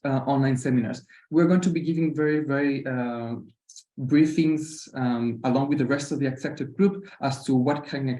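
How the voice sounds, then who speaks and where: A man with a Costa Rican Spanish accent speaks in a mellow, relaxed voice through roomy webinar audio. He presents informally as a university representative, often hesitating and repeating his words. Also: mid-range voice. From Costa Rica.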